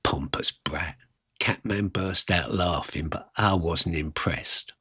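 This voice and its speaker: A man with a husky voice performing a wry, self-mocking rock and roll fan's conversational monologue about his youth. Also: low voice, sharp tone, dry recording, clean studio sound, full character voice. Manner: colloquial, self-mocking, reminiscing. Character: Johnny, wry music-mad eighteen-year-old English rock and roll poser. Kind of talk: first-person dramatic monologue, conversational storytelling.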